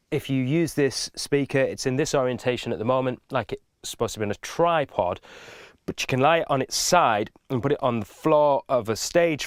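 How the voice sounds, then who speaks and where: A man's voice: fairly low pitch, clean tone, no echo, studio quality. A man giving an informal step-by-step video tutorial in a methodical, instructive manner.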